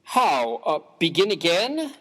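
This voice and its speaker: A man's voice: high voice, sing-song, slow pace, a bit bright, breathy edge, exaggerated voice. A man playing a prim, earnest, mild-mannered clergyman in a dramatised story reading, speaking in a formal, precise, wordy way. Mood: baffled.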